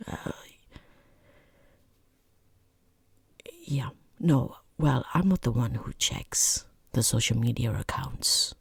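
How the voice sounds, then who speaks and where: A woman playing a rude, condescending female boss interviewing a job applicant in soft-spoken ASMR, half-whispered, with dry, sarcastic politeness. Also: a bass voice, a little slow, vocal fry, slightly rough, no echo, heavily acted. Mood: uneasy, disapproving.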